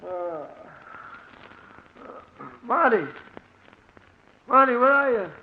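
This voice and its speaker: A high male voice plays a nervous young American crook. He is excitable and slangy, the anxious junior partner in a 1940s radio crime drama. The sound is muffled, like an old broadcast. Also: drawn-out words, a little hiss or hum, heavily acted. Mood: shaken.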